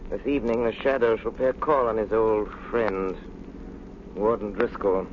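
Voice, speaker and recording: Man acting a calm, cultured gentleman sleuth. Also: lowish voice, close to an everyday voice. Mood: cool, pensive. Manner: measured, formal. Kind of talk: 1930s radio drama acting. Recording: muffled 1930s radio recording, heavy hiss.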